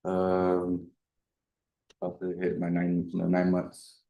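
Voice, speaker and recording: A man's voice: deep voice, monotone, slow pace, vocal fry, phone-quality sound. A man testifying as a defendant, giving terse, hesitant, non-committal answers in an echoey courtroom. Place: indoor courtroom.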